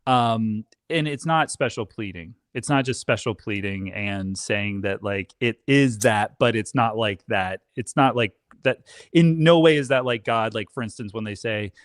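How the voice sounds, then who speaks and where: A man's voice: on the low side, unhurried, hardly any pauses, no echo, clean studio sound. A man chatting casually as a guest, with a tongue-in-cheek, mock-defensive comic manner. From Canada. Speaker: guest on a live stream.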